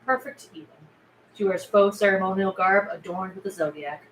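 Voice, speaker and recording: A woman's voice: medium pitch, normal speed. A woman reading stage directions aloud as scripted narration at a table read. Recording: echoey room, faint background noise.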